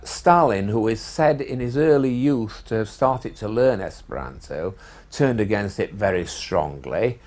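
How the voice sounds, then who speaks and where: A man's voice: fairly low pitch, expressive, unhurried, a little mellow. A man speaking seriously and informatively in an interview.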